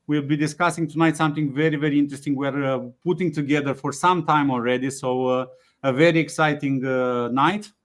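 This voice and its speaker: A man hosting a webinar, speaking haltingly with frequent fillers and restarts. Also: fairly high pitch, fairly even intonation. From Romania. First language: Romanian. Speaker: webinar host, company CEO. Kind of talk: hesitant webinar presentation with many fillers.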